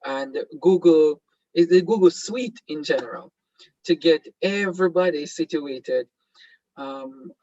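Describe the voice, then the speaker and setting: A man's voice: a little high, darkish tone, no room sound, highs cut off. A Jamaican man whose first language is Patois hosts an online seminar, talking hesitantly with many fillers and restarts. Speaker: host.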